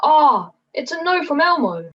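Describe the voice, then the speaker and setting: A woman's voice: high-pitched, very animated, unhurried. A woman playing an eager, scatty puppet in a scripted comedy, recorded over an online call. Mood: excited. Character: eager, scatty puppet show member interrupting. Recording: slightly dull online-call sound.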